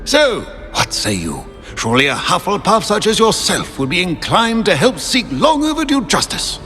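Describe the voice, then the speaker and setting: A man's voice: sits high, wildly swooping pitch, moderate pace, pushed and bright, heavily acted. An actor playing a pompous, courtly, nostalgic old British statesman living on as a portrait in a video game. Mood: grand, courteous. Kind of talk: video game character acting. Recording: long reverberant hall, music underneath.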